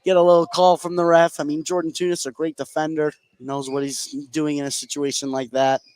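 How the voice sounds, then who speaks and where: Higher-voiced man giving basketball analysis. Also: flat delivery, brisk, darkish tone, clear, resonant tone. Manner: explanatory, conversational. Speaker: color commentator.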